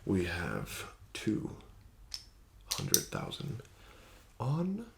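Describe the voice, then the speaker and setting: Low, gravelly soft-spoken male dealer. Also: very slow, noisy background. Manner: soft-spoken, often whispering; smooth, flattering, gently coaxing. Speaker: ASMR roleplay host playing a casino dealer. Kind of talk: ASMR roleplay.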